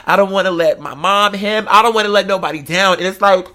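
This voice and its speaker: Male vlog host, high-pitched, forceful bright tone; emphatic, slang-heavy confessional chat that wanders off on tangents. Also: quick pace. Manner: rambling, digressive. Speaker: online show host. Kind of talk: casual confessional chat to followers.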